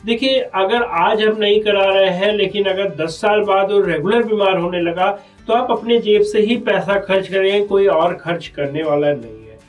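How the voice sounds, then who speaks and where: A man's voice: high voice, normal speed, far from the mic, soft background music. A man with a North Indian accent speaking as a doctor being interviewed, explaining health matters earnestly and persuasively, often repeating phrases.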